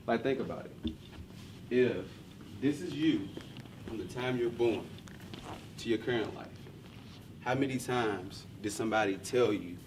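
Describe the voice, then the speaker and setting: A man's voice: on the low side, noisy background. A man leading a workshop discussion, instructing the group and posing rhetorical questions.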